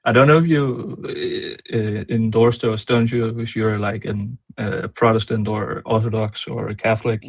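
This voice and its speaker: A caller from Denmark talks haltingly, padding his sentences with fillers while he hunts for words. His voice is low and husky, and it stays flat and even in pitch. The sound is dry, with no room echo. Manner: hesitant, many fillers, searching for words. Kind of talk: casual call-in conversation.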